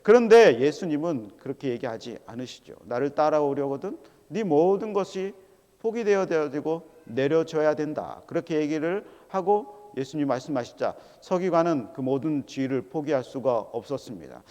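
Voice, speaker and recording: A middle-aged man preaches in a church and slips into quoted voices as he goes. His voice sits a little high for a man. It carries with a long hall reverb behind it. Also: normal speed. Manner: animated preaching, acting out quoted voices, calling for amens. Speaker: pastor. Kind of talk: sermon. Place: large church sanctuary.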